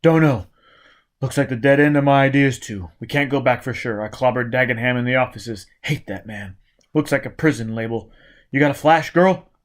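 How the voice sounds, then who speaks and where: Male narrator as hard-driven fugitive convict, gruff and commanding, clipped lines, adventure story reading. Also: medium pitch, moderate pace, natural voice. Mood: gruff, urgent. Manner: terse, clipped, forceful, uneducated grammar.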